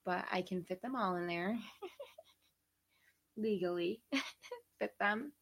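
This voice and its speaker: A woman in her thirties chats casually on a livecast while sick and losing her voice. Her tone is husky and gravelly, and her slow delivery often sinks into whispers and laughter. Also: mid-range voice, animated. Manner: laughing, rambling, drifts into whispers. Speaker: livecast host. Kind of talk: casual chat.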